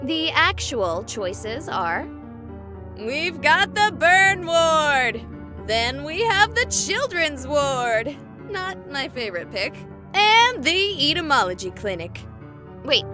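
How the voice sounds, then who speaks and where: A woman playing a goofy, excitable young livestreamer in a visual novel, chatting playfully with exaggerated enthusiasm. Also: sits high, slow pace, soft background music, full character voice. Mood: goofy, chatty. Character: excitable, goofy young female livestreamer exploring haunted places.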